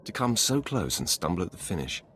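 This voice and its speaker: An actor voicing an imperious, menacing dark lord in a video game, with a haughty, commanding delivery. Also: male voice, sits low, sing-song, a little fast, faint music behind. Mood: cold, commanding. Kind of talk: scripted game dialogue.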